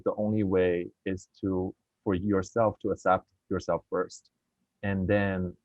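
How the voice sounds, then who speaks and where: Man, low voice, earnest, thoughtful, restarts, dry muffled video call sound. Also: no echo. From China. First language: Mandarin. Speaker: film director, guest. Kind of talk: reflective interview answers. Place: at home in Beijing, remote call.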